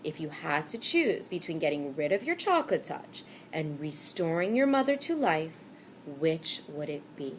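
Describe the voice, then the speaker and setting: A woman reading the lines of a calm old shopkeeper who lectures in a slow, measured way. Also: low-pitched, slight background noise.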